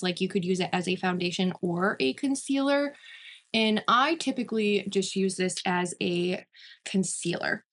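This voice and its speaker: A woman's voice: medium pitch, fairly bright tone. A woman in her mid-thirties giving a casual makeup review as a beauty vlogger, chatty and emphatic, often repeating words for emphasis.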